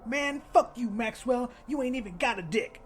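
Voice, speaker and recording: Audiobook character: male narrator as brash, tiny loudmouth, high voice, swaggering slang, combative, music underneath. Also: expressive, moderate pace. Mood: angry, taunting. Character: cocky, foul-mouthed tiny man with swaggering street slang.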